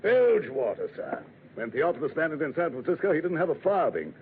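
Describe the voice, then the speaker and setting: An actor playing a pompous, blustering old sea commodore, a villain in a 1940s radio drama. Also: male voice, on the high side, big pitch swings, brisk. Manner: pompous, theatrical, nautical bluster. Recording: tinny, faintly noisy vintage radio recording.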